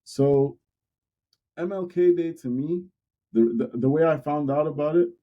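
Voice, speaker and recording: A young man co-hosting a podcast, talking in a relaxed, candid way and repeating words while he thinks. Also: a little high, a little slow, phone-quality sound. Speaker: podcast co-host. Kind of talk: casual podcast discussion.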